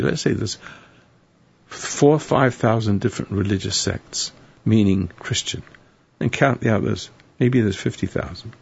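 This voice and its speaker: A hoarse man hosting a live call-in radio show, rambling and preachy with a sardonic edge. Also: mid-range voice, darkish tone. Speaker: radio talk show host. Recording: dull lo-fi radio broadcast.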